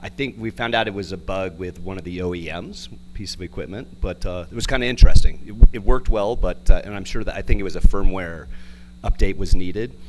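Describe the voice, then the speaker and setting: A man's voice: low-pitched, fairly quick, noisy background. A hesitant man in a conference audience, informally describing his experience. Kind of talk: informal spoken account of own experience. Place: conference session room.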